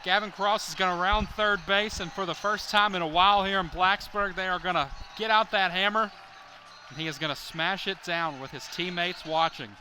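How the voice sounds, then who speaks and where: Very bright-voiced man, baseball color commentator. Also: high voice, moderate pace, slight background noise.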